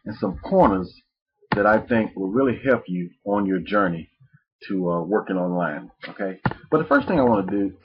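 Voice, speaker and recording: A man's voice: fairly low pitch, noisy background. A man from Mississippi, presenting a live webinar in a rambling, folksy way with restarts. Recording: muffled lo-fi webinar audio.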